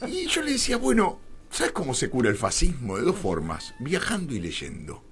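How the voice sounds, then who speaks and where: A man's voice: medium pitch, wildly swooping pitch, fairly quick, slightly rough. An Argentine man speaking as a radio interview guest, opinionated and didactic, often asking questions and answering them himself. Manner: emphatic, didactic, asks and answers own questions.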